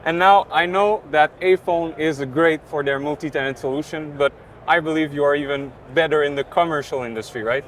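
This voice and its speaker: A man's voice: fairly high pitch, fairly quick. A man co-presenting as a casual interviewer at a trade show, asking questions in an enthusiastic, agreeable way. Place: busy indoor trade show booth. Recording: constant trade show floor noise. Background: exhibition hall ambience.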